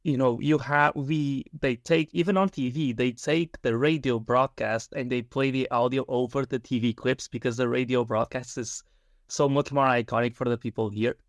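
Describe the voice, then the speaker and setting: A man's voice: mid-range voice, steady tone, moderate pace, no echo. A man in his twenties co-hosting a podcast, asking casual, chatty questions with many fillers. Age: 20s. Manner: chatty, tangent-prone with fillers. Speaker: podcast co-host. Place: Portugal.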